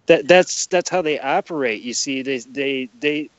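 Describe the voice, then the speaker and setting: A man's voice: mid-range voice, measured pace. Emphatic male guest, dull lo-fi audio. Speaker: podcast guest. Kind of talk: casual explanatory interview talk.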